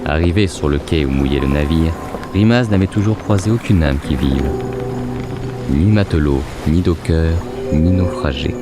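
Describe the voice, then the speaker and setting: A man narrating an audio fiction in a measured, literary storytelling style. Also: a bass voice, loud music behind the voice.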